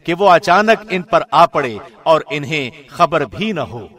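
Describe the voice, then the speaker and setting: A man reads a scripture translation formally, with the measured diction of a radio presenter. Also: on the high side, expressive, fairly bright tone. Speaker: translation reader, radio host.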